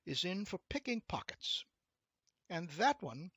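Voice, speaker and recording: A man's voice: sits high, very animated, a little slow, pushed and bright, slightly rough, no room sound, clean studio sound, voice pushed up, heavily acted. A man voicing a minor demon in a storybook tale, a dutiful, deferential clerk who explains things to his master. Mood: reporting.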